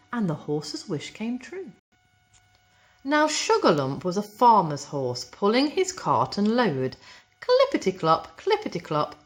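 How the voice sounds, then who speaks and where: A woman reads a rhyming picture book to young children. Her medium-pitched voice is very animated, rising and falling with a rhythmic storyteller's cadence. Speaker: primary school storyteller. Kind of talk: expressive picture-book reading in rhyme.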